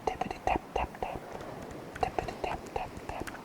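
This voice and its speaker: A man playing a gentle, curious masked plague doctor in a whispered ASMR roleplay, breathy, playful and polite. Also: normal speed, close to an everyday voice. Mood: soothing, playful. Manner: soothing, playful, polite murmuring.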